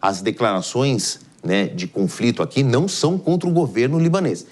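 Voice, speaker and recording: A man's voice: medium pitch, lively intonation, moderate pace, a little mellow. A man with a Brazilian accent giving live explanatory news commentary as an analyst, in a didactic, emphatic way.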